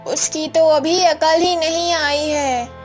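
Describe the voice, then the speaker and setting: An elderly mother-in-law from a cartoon moral story, put on by a woman. She is protective and kindly, speaking slowly and with measured care in a high voice. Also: steady tone, faint music behind. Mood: consoling. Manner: measured, admonishing, consoling.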